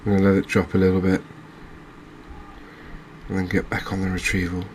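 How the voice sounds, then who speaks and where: A man giving quiet, unscripted ASMR-style game commentary, unhurried and thinking aloud with pauses. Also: low voice, monotone, slight background noise. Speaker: gaming video host.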